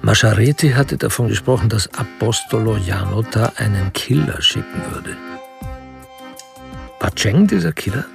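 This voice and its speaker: A man with a creaky voice narrating a radio crime play in a literary storytelling style. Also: fairly low pitch, normal speed. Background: music.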